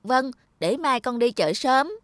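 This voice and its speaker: A woman's voice: sits high, animated, unhurried, dry recording. A woman voicing a timid, crafty young male servant in a cartoon, deferential and pleading. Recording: studio voice with loud music.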